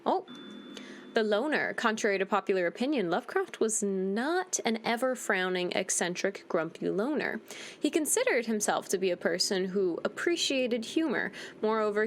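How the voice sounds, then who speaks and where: A woman's voice: a little high, slight background noise. A woman giving casual, playful playthrough commentary, conversational and talking back to the game as she plays. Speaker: gaming video host.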